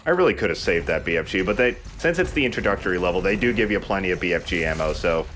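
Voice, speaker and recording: Lively low-voiced man commentating a game. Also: fast talker, slight background noise. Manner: explains game mechanics as he plays. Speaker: let's play host. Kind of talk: casual gameplay commentary.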